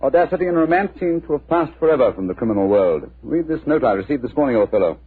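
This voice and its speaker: An actor playing an incisive, imperious British detective, clipped and wry, in a 1940s radio drama. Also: male voice, mid-range voice, very animated, quick pace, slightly rough, no echo. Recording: tinny, lo-fi 1940s radio recording.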